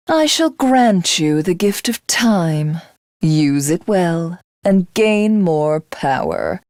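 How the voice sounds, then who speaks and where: An actress playing a haughty, vengeful alien warlord in a video game, speaking in formal, mocking, theatrical lines. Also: female voice, lowish voice, dry recording, studio quality. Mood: bored, disdainful. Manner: imperious, mocking, formal archaic diction. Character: haughty, vengeful alien warlord, a self-styled living god. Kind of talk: theatrical game villain monologue.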